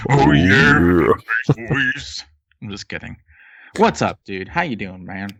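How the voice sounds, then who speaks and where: A man's voice: on the low side, expressive, slow, gravelly, a bit bright. A man joining a game stream as guest, joking around in a laid-back way. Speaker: co-host guest. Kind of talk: casual banter. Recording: dull lo-fi mic with faint music.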